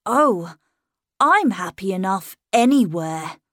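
A woman's voice: medium pitch, big pitch swings, slow, no echo, studio quality. A woman voicing a polite, charming young boy who knows he has the upper hand, in an audiobook reading. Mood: polite, insistent. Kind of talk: audiobook character voice.

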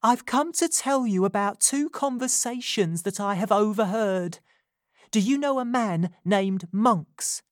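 A woman's voice: mid-range voice, a little slow, dry recording, studio quality, pitched above the performer's own voice, natural voice. A woman voicing a frightened young woman who speaks in an urgent, pressing way in a storybook reading.

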